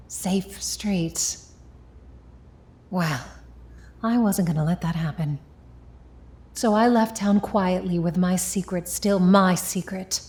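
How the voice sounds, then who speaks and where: An actress playing an ambitious, hard-boiled young woman of the 1950s in a vintage audio drama, speaking deliberately and defiantly. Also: mid-range voice, a little mellow, lightly acted. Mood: hushed, grave, then resolute.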